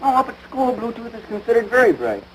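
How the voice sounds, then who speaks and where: An actor playing an earnest, dim-witted American high school boy in a domestic comedy, mixing slang with lofty words. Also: big pitch swings, fast talker. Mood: earnest, matter-of-fact. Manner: earnest slangy talk mixed with lofty wording. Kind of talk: domestic radio-style situation comedy. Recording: noisy vintage broadcast recording.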